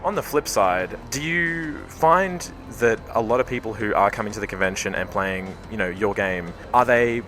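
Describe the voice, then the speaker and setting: A man's voice: lowish voice, moderate pace, few pauses, a bit bright, a little hiss or hum. A man interviewing a game developer, asking long, meandering questions. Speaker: podcast interviewer. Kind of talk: convention floor interview.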